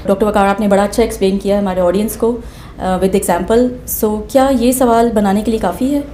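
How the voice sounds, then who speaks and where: Woman, host, polite questions, summarizing, warm tone, roomy echo. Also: mid-range voice, steady tone, a little fast, a little hiss or hum. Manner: sums up and asks polite questions. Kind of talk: interview questions. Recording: echoey room.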